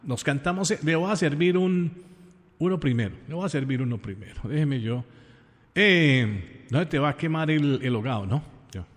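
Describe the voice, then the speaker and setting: A man's voice: on the high side, lively intonation, normal speed, in a large hall. A man from Colombia with a Colombian accent, talking informally as a radio host to listeners in an affectionate, effusive way, repeating phrases for emphasis. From Pereira, Colombia.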